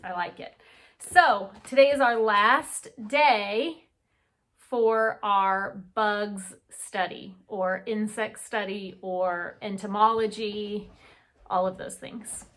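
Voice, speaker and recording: A woman's voice: medium pitch, steady tone, slow pace, a bit bright. A woman teacher reading a children's storybook aloud with chatty asides, putting questions to young listeners and answering them. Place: indoor reading nook at home.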